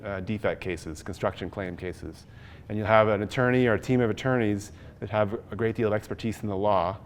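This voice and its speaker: A man in his thirties leads a conference session from a podium in a large hall. His voice sits a little low, and his delivery is conversational, with frequent ums and restarts. A faint rumble hums underneath. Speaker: conference presenter. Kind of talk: live workshop talk with audience input. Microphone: podium mic, later handheld.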